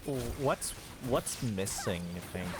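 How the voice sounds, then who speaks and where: A man's voice: medium pitch, big pitch swings, slow, over music. A man chatting casually as a game streamer, often repeating and rephrasing himself. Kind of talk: casual gaming chat.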